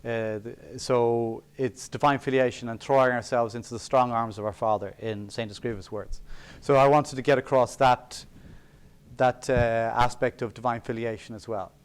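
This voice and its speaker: A man lectures in an auditorium in a smooth, resonant voice pitched in the middle range. He speaks earnestly and thoughtfully, pausing to gather his ideas and adding wry, self-deprecating asides. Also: fairly even intonation. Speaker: sculptor giving a lecture. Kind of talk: public lecture on sacred art. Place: college auditorium.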